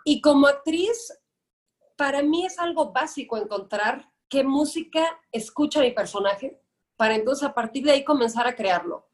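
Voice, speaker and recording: A young adult Mexican woman, an actress guest, speaking in a fairly high voice. She talks in relaxed podcast chat, thoughtful and measured, often starting with small hesitations. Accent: Mexican Spanish. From Guadalajara, Mexico. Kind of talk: casual podcast interview.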